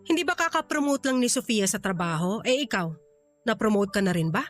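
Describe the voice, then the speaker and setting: A woman's voice: medium pitch, expressive, brisk, soft background music, natural voice. A woman playing a critical, blunt middle-aged aunt in a radio drama, needling in a Filipino accent. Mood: critical, cutting. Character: sharp-tongued, critical aunt vetting her niece's suitor. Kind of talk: Tagalog radio drama acting.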